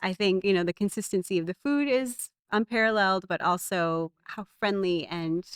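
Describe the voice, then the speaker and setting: A woman interviewer with a mid-range voice asks friendly, leading questions in relaxed conversation. The sound is dry and close. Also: medium pitch, normal speed, clean tone, no echo. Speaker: interviewer, host. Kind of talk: casual interview chat.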